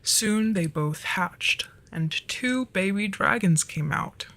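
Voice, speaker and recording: Flirty, easily flustered dragon for ASMR roleplay acted by a performer of unclear sex; soft, breathy, mid-pitched with a crackly edge. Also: expressive, slow pace. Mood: gentle, storytelling. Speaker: storyteller in an audio roleplay.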